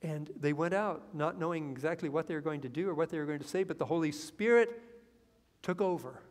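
A man in his fifties preaching a sermon from a church pulpit, earnest and rising into excitement as he reads scripture. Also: a little high, fairly quick. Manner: earnest preaching that swells with excitement, lines read from the Bible. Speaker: pastor. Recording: long hall reverb in a church.

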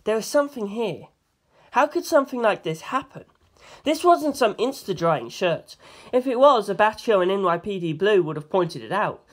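Story narrator, mid-range pitch, reading first-person fiction audiobook-style. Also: big pitch swings, normal speed.

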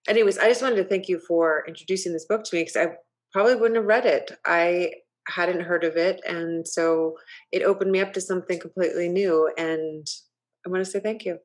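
A woman in her thirties talking casually and appreciatively in a group discussion on a video call. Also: on the low side. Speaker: book discussion participant. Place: plain indoor room, video call. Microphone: computer mic on video call.

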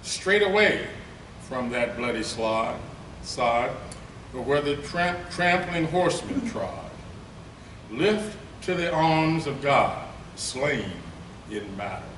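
An older man reading poetry at a podium, first chatting haltingly with many fillers, then reciting slowly and gravely. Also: medium pitch, animated, a bit bright. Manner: slow, declamatory recitation. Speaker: poetry reader. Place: conference room with lectern. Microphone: podium mic, from a far camera. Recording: roomy, distant, noisy room recording.

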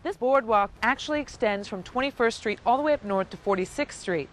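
A woman's voice: a little high, animated, a little fast, rough recording. A woman hosting a travel show, asking her guide questions in an inviting, conversational way. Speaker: host. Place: outdoors on a beach boardwalk, later a hotel lobby.

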